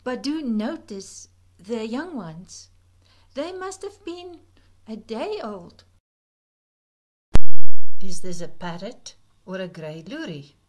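A woman narrating a birding slideshow as a scripted voice-over, gentle and reflective, with soft breathy asides. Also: a little high, sing-song, slow pace, long pauses, slight background noise, rough recording. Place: voice-over recorded apart from the pictured outdoor scenes.

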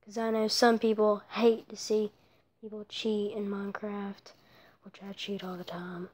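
A woman giving casual commentary over her own mobile block-building gameplay, rambling and often dropping into soft whispered asides, recorded on her phone. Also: mid-range voice, little pitch movement, measured pace. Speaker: let's play creator.

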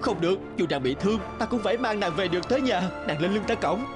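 A man's voice: high-pitched, lively intonation, quick pace. A man voicing an earnest, emotional young village husband in a fairy-tale cartoon. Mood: desperate. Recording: music under the voice.

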